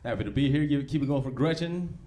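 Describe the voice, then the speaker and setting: A man's voice: mid-range voice, lively intonation, fast talker, over a noisy crowd. A forty-year-old American man doing conversational, slangy, profane stand-up comedy in a laid-back way, live in a club. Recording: live club recording with background noise.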